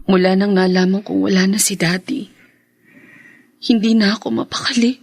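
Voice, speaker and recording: An actress playing a grieving, tender young wife in a radio drama, soft and emotional. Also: female voice, mid-range voice, fairly even intonation, normal speed, natural voice. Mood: tearful. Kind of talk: Tagalog radio drama acting.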